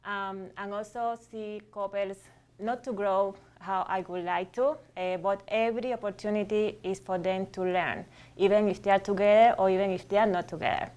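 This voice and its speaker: A woman's voice: medium pitch, moderate pace, smooth. A woman from Cuba, a guest doctor explaining relationship behavior step by step on a talk show. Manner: steady, explanatory, lays ideas out step by step.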